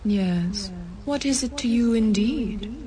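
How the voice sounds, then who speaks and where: An actress playing a solemn female ghost of the past in a radio play, speaking in a measured, grave way. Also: fairly high pitch, dark tone, a little hiss or hum. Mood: probing.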